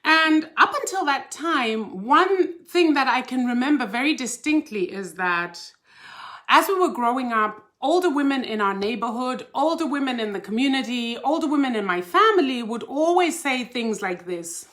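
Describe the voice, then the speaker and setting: A woman's voice: high voice, animated, moderate pace, a bit bright. A woman from Zimbabwe, a life coach hosting a casual live stream and chatting animatedly with her viewers, laughing easily. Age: older.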